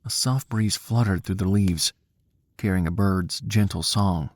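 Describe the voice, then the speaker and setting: A man narrating a scripted story in a cinematic, dramatic style, with a measured, solemn, hushed manner. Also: deep voice, no echo, clean studio sound.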